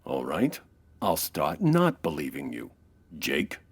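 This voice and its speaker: Casting: tough crime boss, deep and husky, sardonic wisecracks, menace, radio-play comedy. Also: male voice, huge pitch swings, slow pace, exaggerated voice. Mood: menacing. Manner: clipped wisecracking tough-guy talk. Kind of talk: comedic old-time radio crime drama acting.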